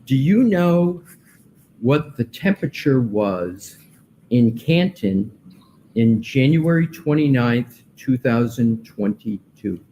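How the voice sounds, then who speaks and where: A male defense attorney cross-examining a witness in court, asking slow, pointed, deliberate questions. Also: mid-range voice, expressive, dark tone, a little hiss or hum, low-quality recording.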